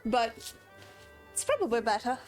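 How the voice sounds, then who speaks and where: Young woman game streamer, Australian accent slipping American, rambling talk, slightly high voice. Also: big pitch swings, a little slow, a little mellow, soft background music. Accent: Australian with American drift. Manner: chatty, rambling, laughs often. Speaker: gaming streamer. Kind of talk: casual livestream chat while playing.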